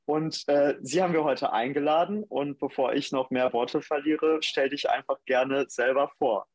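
A man's voice: medium pitch, normal speed, dry recording. Young male co-host, easygoing, dry close sound. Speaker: podcast co-host. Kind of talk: casual podcast chat.

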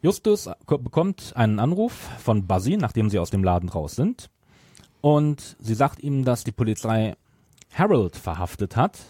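A man's voice: mid-range voice, big pitch swings, moderate pace, mellow, slightly rough, no room sound, studio quality. A man hosting a podcast, retelling a story from notes and at times searching for words. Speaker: podcast host.

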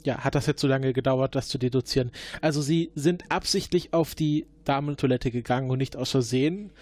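A man playing a formal time-travelling agent who questions a suspect in halting, filler-laden speech during an improvised roleplay. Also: medium pitch, darkish tone, natural voice. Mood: probing. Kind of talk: improvised murder-mystery roleplay.

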